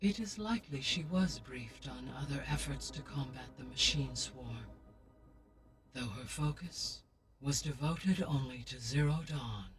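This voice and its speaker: A woman voicing a precise, calm AI intelligence in a video game, speaking in a measured, clinical way, heard from a distance with faint music behind. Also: a bass voice.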